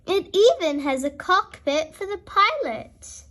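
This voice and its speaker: A woman voicing a cheerful, excitable little girl pig in a children's storybook. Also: extremely high pitch, slow, exaggerated voice.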